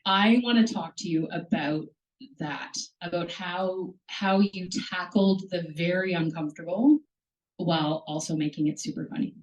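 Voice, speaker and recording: A woman moderating a live bookstore reading, loud and chatty, laughs easily, speaking into a handheld mic. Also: on the low side, moderate pace, far from the mic, rough recording. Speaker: event moderator. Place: bookstore event room. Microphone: handheld microphone.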